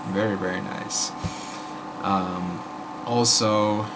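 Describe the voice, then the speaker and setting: Raspy guy w a sore throat rambling on camera, lots of ums, ac noise in the room. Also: on the low side, fairly even intonation, slow. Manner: rambling, many fillers and restarts. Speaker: YouTube host. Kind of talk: casual vlog commentary.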